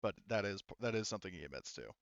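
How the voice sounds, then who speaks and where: A man with a smooth, fairly low voice works as a game master, improvising story and rulings as he goes. He talks haltingly, looping back with asides. The sound is dry and slightly dull. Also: expressive, no room sound. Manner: halting, circling explanations with frequent hedging asides. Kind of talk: improvised narration and rules talk for a tabletop roleplaying game.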